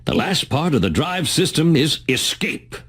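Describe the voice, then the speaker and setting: A shifty cartoon driving instructor in a game. His creaky, husky voice swoops wildly as he talks in a slick, ingratiating way. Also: fairly high pitch, sing-song, normal speed, a bit bright, exaggerated voice. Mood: encouraging.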